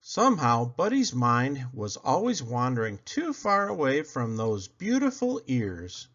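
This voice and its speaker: A man reads a children's story with big expressive swings. His voice is fairly high and forceful, and he takes his time. The recording is a little muffled. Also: unhurried. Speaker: storyteller, read-aloud host. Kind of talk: expressive children's book narration.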